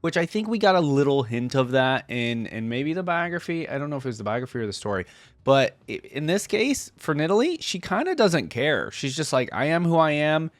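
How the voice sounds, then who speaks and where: A man's voice: fairly high pitch, sing-song, a little fast, no echo. A man hosting a reaction video, giving casual, chatty, easily amused commentary on game voice lines.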